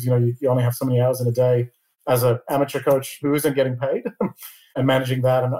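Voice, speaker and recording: A middle-aged man born in Iran, answering interview questions in a measured way and often repeating a word as he starts a phrase. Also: mid-range voice, warm tone, low-quality recording. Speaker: interview guest, lawyer and board chair.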